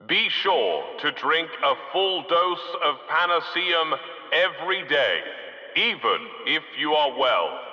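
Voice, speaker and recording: A deadpan game announcer who reads civic notices with formal, bureaucratic calm. The voice is sharp and bright and a little high, delivered slowly. It comes through a dull, lo-fi loudspeaker with a long echo across the city. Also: male voice, heavily acted. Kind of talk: public address announcements.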